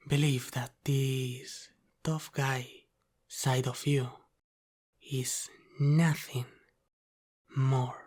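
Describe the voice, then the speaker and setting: A man playing a teasing, menacing Italian-American mafia boss in an intimate ASMR roleplay, speaking in a calm, controlling, halting way that often drops to a whisper. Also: mid-range voice.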